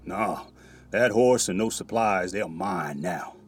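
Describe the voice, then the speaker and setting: An actor playing a stern, righteous, weary cavalry first sergeant who quotes scripture in grave frontier phrasing in a Western audio drama. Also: male voice, low voice, takes it slowly, slight background noise.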